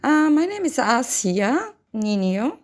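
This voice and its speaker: A woman's voice: a little high, sing-song, slow. A woman in her sixties or older from Bulgaria, with a Bulgarian accent, speaking casually in an interview as an adult music student. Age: 60s or older.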